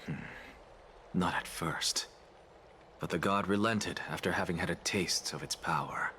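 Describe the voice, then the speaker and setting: A man playing a stern, aloof professor in an anime-style game, speaking in a dry, dismissive, deliberate way. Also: low voice, bright, forceful tone, slight background noise. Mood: grave, dry.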